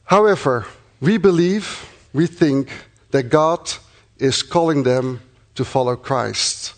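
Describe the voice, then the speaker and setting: A middle-aged man from the Netherlands with a Dutch accent, a missionary speaking earnestly and thankfully to supporters, pausing often with fillers. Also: mid-range voice. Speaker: missionary church planter. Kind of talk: address to supporting congregation.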